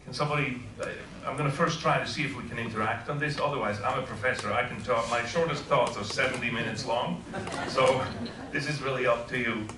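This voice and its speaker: A man's voice: mid-range voice, steady tone, a little fast, hardly any pauses, distant mic, background noise. A middle-aged man from Switzerland, a professor, giving an informal talk and asking the audience questions. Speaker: guest speaker. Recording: echoey room, voice heard from afar.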